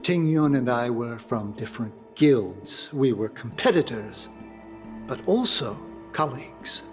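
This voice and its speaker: A man voicing a wistful, chatty young merchant in a video game, rambling and halting as he speaks. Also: mid-range voice. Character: grieving young man, friendly, chatty merchant guild rival.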